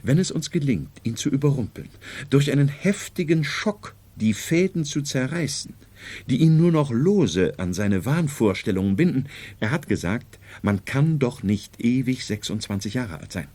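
Ein Schauspieler als gelehrter Doktor, belegt und lebhaft moduliert. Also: male voice, mid-range voice, animated, moderate pace, darkish tone, lightly acted. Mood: intent, hopeful. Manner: pedantic, lecturing, formal. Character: learned, self-assured male psychiatrist, explaining at length. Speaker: radio drama actor. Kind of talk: 1970s radio drama acting.

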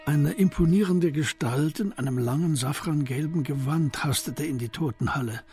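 A man's voice: a little high, moderate pace. Male radio drama narrator over music.

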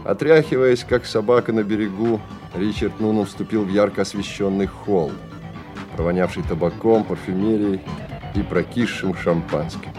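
A man's voice: fairly low pitch, fairly even intonation, moderate pace, over music. A man narrating a Russian radio play as a scripted literary story.

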